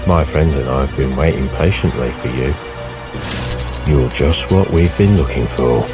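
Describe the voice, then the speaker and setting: Man acting a very deep, sinister policeman. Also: measured pace, over music. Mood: sinister gloating. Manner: officious, condescending. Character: stern, sinister male police officer villain. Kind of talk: audio drama acting.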